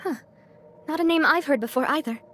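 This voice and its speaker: An actress playing a young gang leader in a video game, candid and composed, with a measured, gentle way of talking. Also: female voice, high-pitched, slight background noise. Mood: candid, poised. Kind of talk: video game character dialogue.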